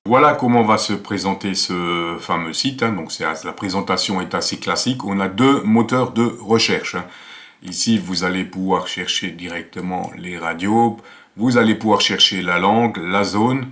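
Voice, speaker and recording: A man's voice: deep voice, moderate pace, fairly bright tone. A man, presenting a casual tutorial in a conversational, explanatory way with frequent tag words. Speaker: amateur radio video host. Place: small attic home office, indoors.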